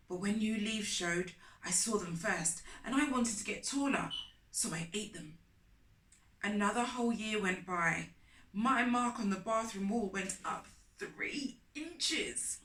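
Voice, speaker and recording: Slow, bright-voiced woman reading a children's story. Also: mid-range voice. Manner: deliberate, emphatic phrasing for children. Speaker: children's storytime host and reader. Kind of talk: expressive read-aloud storytelling. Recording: roomy echo, distant microphone.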